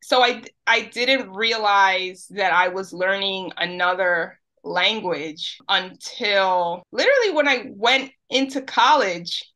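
A woman from New York City whose first language is Spanish, talking as a podcast guest. Her voice is bright and lively at mid pitch. She talks thoughtfully and casually, with plenty of ums and restarts. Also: takes it slowly, few pauses. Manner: thoughtful, with many fillers and restarts. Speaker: guest, teacher. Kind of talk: casual interview talk.

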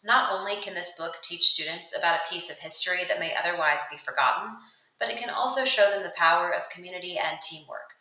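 Young adult woman, earnest scripted voice-over, lowish and bright, echoey room. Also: quick pace, dull audio. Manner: steady, earnest read-aloud delivery. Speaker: student presenting book recommendations. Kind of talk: scripted narration of a video ad. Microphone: voice-over over still book pages.

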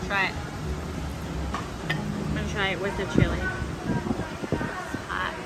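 A woman chatting casually as she tastes dishes at an outdoor restaurant table, talking slowly and relaxed, recorded on a handheld camera. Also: mid-range voice, vocal fry. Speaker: food vlogger. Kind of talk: casual chat while tasting food. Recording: loud outdoor traffic noise.

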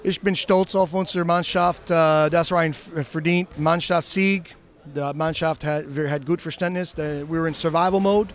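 A middle-aged head coach, an English speaker giving a post-game interview in halting German that slips into English phrases, recorded on a handheld mic in an indoor arena. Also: male voice, a little high, steady tone. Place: indoor arena, in front of a sponsor wall.

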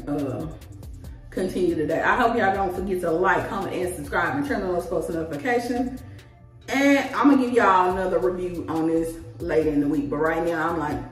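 A woman, chatting casually to camera in a rambling, animated, slangy way as a vlogger. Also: lowish voice. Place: small tiled indoor room at home. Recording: echoey room, distant mic, music behind.

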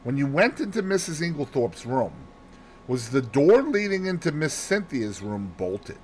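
Man playing a placid male sleuth, deliberate and fussy, lively intonation, audiobook dialogue, some background noise. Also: fairly high pitch, voice pushed up. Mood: methodical questioning. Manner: precise, deliberate, peppered with French phrases. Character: fussy, placid Belgian male detective, growing old.

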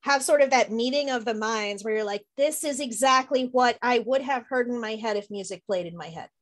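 A woman's voice: fairly high pitch, fairly quick. A middle-aged woman interviewing casually on a video call, informal and a little self-deprecating. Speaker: interviewer, host. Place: small room at home.

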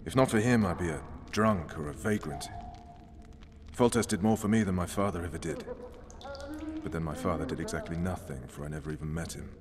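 Man acting stern, low-voiced military commander, echoing. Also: fairly quick, noisy background, close to an everyday voice. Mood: grave. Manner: clipped, commanding, menacing. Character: stern, world-weary military special forces commander interrogating a prisoner. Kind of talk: dramatic video game acting.

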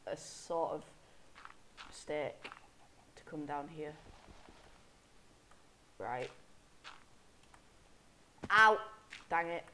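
A young man talks slowly over his gameplay, stuffy and sniffling from a head cold. He rambles, trips over his words and corrects himself, then grumbles at enemies in mock anger. He talks into a desk microphone at his computer. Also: a little high, sharp tone, slight background noise, rough recording. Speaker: gameplay commentator. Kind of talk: casual game commentary.

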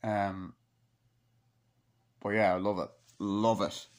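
A young man records a casual film review at his laptop in a small room. He rambles in an informal, excitable way and swears freely. He keeps slipping into quick re-enactments of dialogue as he talks. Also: on the low side. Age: 20s. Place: small wood-panelled room indoors. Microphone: laptop microphone at close range.

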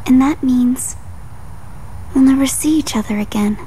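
A woman voicing a gentle, wistful young woman warrior in a video game, soft, hesitant and earnest. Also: high voice, measured pace, a little hiss or hum, low-quality recording. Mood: wistful, sad. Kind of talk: video game drama acting.

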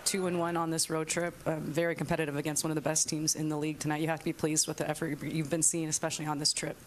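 A sports reporter asking a coach questions at a postgame press conference in brisk, evenly delivered questions. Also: mid-range voice, flat delivery, slight background noise.

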